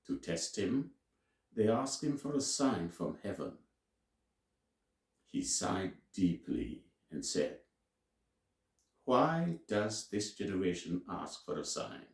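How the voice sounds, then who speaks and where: A man reading scripture aloud as a teacher, in a solemn, deliberate and emphatic way with dramatic pauses. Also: deep voice, big pitch swings. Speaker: Bible reader and teacher. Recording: roomy, echoing sound.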